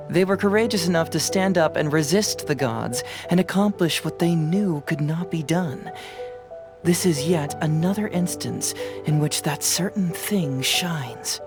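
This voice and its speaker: A woman voicing a thoughtful, easygoing young detective in a video game, with a sincere, reflective delivery. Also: deep voice. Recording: music behind the voice.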